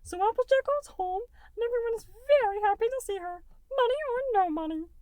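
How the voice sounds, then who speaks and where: A woman voicing a childlike male cartoon goo creature, high-pitched and rambling with eager enthusiasm. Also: expressive, a little fast, darkish tone, dry recording. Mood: earnest, excited. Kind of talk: breathless rambling cartoon retelling.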